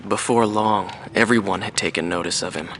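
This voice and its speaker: A man playing a weary, reflective veteran mercenary pilot in a video game, recalling the past in a measured, wistful interview monologue. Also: low-pitched, a bit bright, slight background noise. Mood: quiet admiration. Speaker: former mercenary fighter pilot being interviewed.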